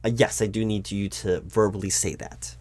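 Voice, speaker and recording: A man playing a nervous, polite recruiting manager in a job interview roleplay, speaking aloud in a halting, stammering, apologetic way. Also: lowish voice, level tone, darkish tone, lightly acted. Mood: businesslike. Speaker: roleplay performer. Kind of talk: one-sided job interview audio roleplay, spoken aloud.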